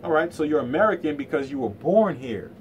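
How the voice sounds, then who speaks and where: A man's voice: a little high, animated, brisk, a little hiss or hum. A man giving an informal explanatory lecture in colloquial speech, emphatically repeating key phrases and asking rhetorical questions.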